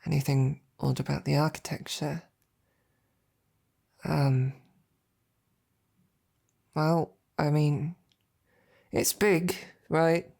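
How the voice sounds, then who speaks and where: A woman playing a devoted, self-doubting female royal knight in an intimate roleplay audio, speaking in a hesitant, informal, tender way with a low, gravelly voice. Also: steady tone, extremely slow, pauses often, darkish tone, exaggerated voice. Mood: curious, gentle.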